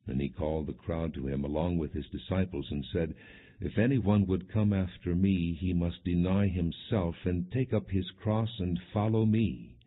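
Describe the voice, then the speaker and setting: Deep-voiced male narrator, muffled phone-like audio. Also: breathy edge. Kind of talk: solemn scripted Bible narration, non-dramatized reading.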